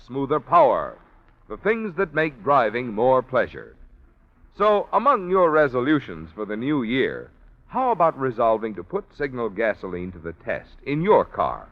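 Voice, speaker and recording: A man working as a radio announcer, reading a scripted 1940s sponsor ad in a polished salesman's style. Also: medium pitch. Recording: dull, lo-fi 1940s radio recording.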